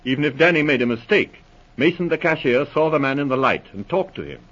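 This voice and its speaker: An actor playing an eager, frank police officer with a brisk manner, in a 1940s radio drama. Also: male voice, a little high, lively intonation, a bit bright. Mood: questioning, eager. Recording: muffled vintage radio recording.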